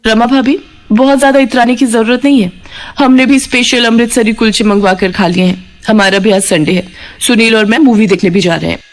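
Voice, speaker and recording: A woman's voice: fairly high pitch, a little fast, lightly acted. A woman voicing a proud young working daughter-in-law who argues indignantly in a cartoon family drama. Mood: haughty. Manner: indignant, childishly argumentative.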